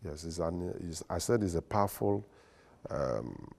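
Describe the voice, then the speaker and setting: A man with a Nigerian accent, a lawyer and guest on a studio interview, speaking in a formal, deliberate and emphatic way. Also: low-pitched, expressive, creaky, darkish tone. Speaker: guest, lawyer and secretary general.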